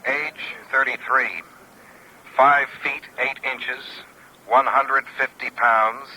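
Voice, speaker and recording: A very sharp, forceful man's voice with an American accent reads a 1950s radio bulletin. He goes slowly, item by item, in clipped and impersonal phrases at a medium pitch. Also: fairly even intonation, rough recording. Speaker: radio announcer reading a wanted notice.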